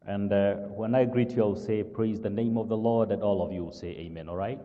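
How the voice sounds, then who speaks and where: Kenyan-accented pastor in a reverberant hall. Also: male voice, on the low side, steady tone, phone-quality sound. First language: not English. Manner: slow, halting with many "uh" fillers, checks "all right?". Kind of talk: sermon.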